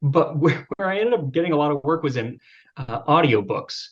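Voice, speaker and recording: A man with a youthful-sounding voice, chatting as a podcast guest in a relaxed, self-deprecating way with many fillers. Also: a little high, brisk. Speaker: podcast guest, video creator. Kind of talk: casual interview chat. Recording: slightly dull lo-fi sound.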